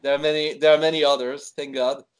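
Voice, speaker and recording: Swiss-born man, guest in a casual interview, talky, halting with fillers. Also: fairly high pitch, little pitch movement, clean tone. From Switzerland. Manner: chatty, many fillers and restarts. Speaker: wine expert guest. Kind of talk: conversational interview.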